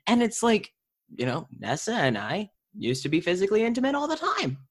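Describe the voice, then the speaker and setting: A woman's voice: mid-range voice, big pitch swings, brisk. A woman chatting informally in an interview, slipping into imagined dialogue as she talks. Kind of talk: casual conversational interview.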